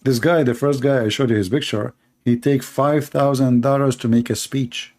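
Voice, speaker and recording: A man speaks in a mid-range, gravelly voice with lots of vocal fry. He hosts a commentary show, ridiculing clips in a sarcastic, rambling manner, stopping often for fillers. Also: medium pitch, steady tone, normal speed, a little mellow. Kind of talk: mocking commentary on video clips.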